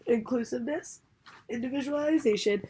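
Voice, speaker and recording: A woman's voice: on the high side, brisk, warm tone. A young woman with a stuffy nose and a scratchy voice from a cold, summarizing a book chapter for a class in a casual reading style with fillers. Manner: reads a list, then explains casually with fillers and restarts. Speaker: student presenter.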